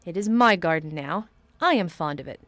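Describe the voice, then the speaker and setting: A woman voicing a proud, imperious sickly boy who speaks in sharp, commanding tones, as she reads a storybook aloud. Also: fairly low pitch, expressive, normal speed, no echo.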